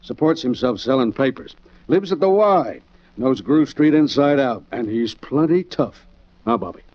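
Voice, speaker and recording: Streetwise male cop played by an actor, blunt slangy talk, middle pitch, muffled vintage radio sound. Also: animated, normal speed, natural voice. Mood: matter-of-fact. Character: plain-spoken, streetwise male police sergeant. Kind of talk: 1940s radio crime drama acting. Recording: muffled 1940s radio recording.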